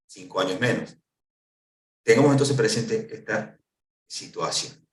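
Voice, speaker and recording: A man's voice: lowish voice, measured pace. A man with an Argentine Spanish accent giving a conference lecture, explaining step by step and posing questions to answer himself. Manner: didactic, asks and answers own questions. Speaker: lecturer on pension law. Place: lecture hall. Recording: echoey hall, voice from far off.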